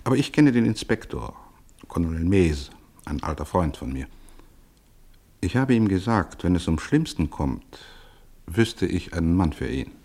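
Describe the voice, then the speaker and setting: A suave professor, deep, measured delivery, radio play. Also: male voice, sing-song, darkish tone, full character voice. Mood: urbane. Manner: urbane, knowing, measured. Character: worldly old professor, polished and knowing.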